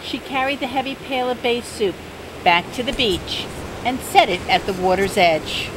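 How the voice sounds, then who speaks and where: An older woman reading a children's picture book aloud in a gentle, unhurried bedtime-story manner. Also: a little high. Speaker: storybook reader. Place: outdoors by the sea. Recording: steady outdoor noise.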